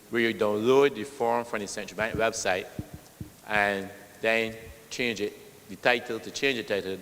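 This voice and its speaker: A loud-voiced man answering a question at a meeting, patiently explaining with worked examples. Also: on the low side, slight background noise. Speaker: official explaining bonds. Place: meeting hall. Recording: long reverb in a hall.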